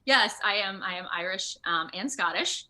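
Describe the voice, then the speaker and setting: A woman giving a casual interview as a guest actor, expressive and giggly, acting out her anecdotes dramatically. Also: mid-range voice, moderate pace. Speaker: interview guest, video game voice and motion capture actor. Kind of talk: casual animated interview chat.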